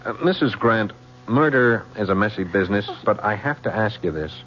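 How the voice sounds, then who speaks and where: A homicide lieutenant with a low voice and a courteous, halting but persistent way of asking questions. It is old-time radio drama, muffled and with a faint hum. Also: male voice, lively intonation, fairly bright tone. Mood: probing, courteous. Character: polite, persistent male homicide police lieutenant.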